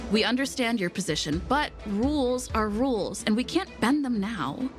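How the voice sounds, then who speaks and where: Actress as a composed, higher-voiced female negotiator. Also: expressive, natural voice. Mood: diplomatic, firm. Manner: formal, measured, diplomatic. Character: composed, firm young female official negotiator. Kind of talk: video game voice acting. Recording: game music behind.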